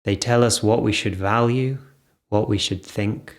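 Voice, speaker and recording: A man with a fairly low voice leads an improvised guided meditation. His delivery is very slow, broken into short phrases. He leaves gentle pauses between them. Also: fairly even intonation.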